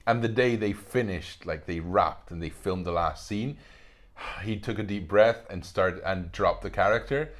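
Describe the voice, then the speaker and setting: This is a man with a very low, deep voice. He chats casually as a podcast guest, telling stories in an animated way and often stopping to restart his sentences. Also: measured pace. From Spain. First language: Spanish. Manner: animated storyteller, many fillers and restarts. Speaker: guest, actor.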